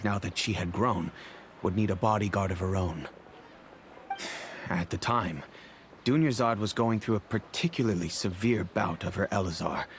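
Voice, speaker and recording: An actor playing a fatherly middle-aged merchant who speaks in a measured, reflective, remorseful way, over faint music. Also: fairly low pitch, a little hiss or hum, close to an everyday voice. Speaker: wealthy patron and father figure. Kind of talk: video game voice acting. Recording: game audio with faint music.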